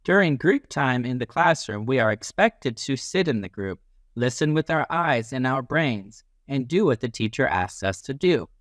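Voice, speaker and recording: A man reading a children's book aloud as a teacher, in an expressive, instructive way that leans on key phrases. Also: mid-range voice, moderate pace, no echo, studio quality.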